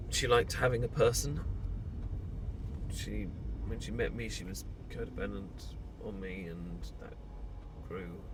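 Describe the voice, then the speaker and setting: A man's voice: fairly low pitch, steady tone, slow. A man with a British accent playing an awkward, rambling young man leaving a bad relationship in an intimate audio roleplay, hesitant and often interrupting himself. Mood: sad, subdued. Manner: hesitant, rambling, self-interrupting, chuckling nervously. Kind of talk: intimate boyfriend roleplay audio acting, conversational. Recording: clearly noisy, car and outdoor ambience behind.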